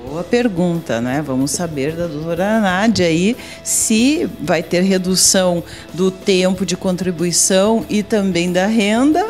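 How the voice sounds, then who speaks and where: A woman's voice: on the low side, unhurried, hardly any pauses, darkish tone, soft background music. A woman in her forties with a Brazilian accent, hosting a TV interview in an office, chatty and leading questions with a handheld mic. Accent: Brazilian Portuguese. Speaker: TV host. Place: office meeting room.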